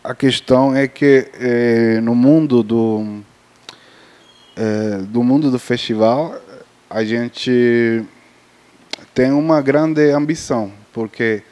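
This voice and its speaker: Man, Cuban accent, Spanish native speaker; mellow, halting panel talk with many restarts. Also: medium pitch, steady tone. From Cuba. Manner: hesitant, many fillers and restarts. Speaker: festival panelist on film distribution.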